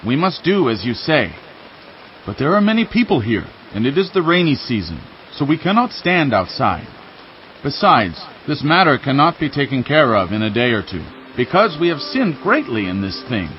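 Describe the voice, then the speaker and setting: A man's voice: medium pitch, big pitch swings, normal speed, muffled recording, slight background noise, close to an everyday voice. An actor playing an earnest spokesman for a penitent crowd, pleading emphatically in a dramatized scripture recording. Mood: earnest, contrite. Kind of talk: dramatized scripture audio acting.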